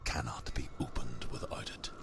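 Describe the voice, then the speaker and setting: An actor playing a menacing, ancient dark spirit in a video game, solemn, commanding and ominous in delivery. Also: male voice, very deep voice, steady tone, normal speed, gravelly, whispered, over music. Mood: ominous, foreboding. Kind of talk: dramatic scripted game voice acting.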